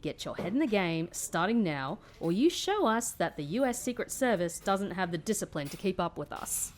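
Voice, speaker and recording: A woman's voice: medium pitch, sing-song, normal speed, slight background noise, natural voice. An actress playing a tough, no-nonsense female agent, firm and dry, in an audio drama. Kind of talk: audio drama dialogue and narration.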